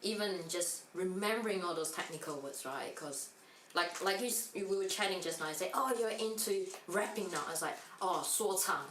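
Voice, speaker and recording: A woman entrepreneur in a casual chat, telling an animated story with laughs. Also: fairly low pitch, brisk, a little hiss or hum. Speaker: entrepreneur guest. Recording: echoey room, mic at a distance.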